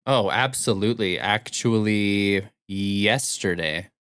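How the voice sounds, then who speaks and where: Man, lowish voice, podcast co-host, casual conversational style, dry close mic. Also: slow pace, fairly bright tone, clear, resonant tone, dry recording. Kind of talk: casual chat about video games.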